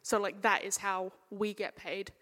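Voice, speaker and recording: A woman's voice: medium pitch, level tone, unhurried, bright, forceful tone. A woman talking casually on a live panel, chatty and informal, slipping into imagined quotes as she explains. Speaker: panel speaker, audio drama maker. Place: hall at a live convention panel. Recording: echoey hall with long reverb.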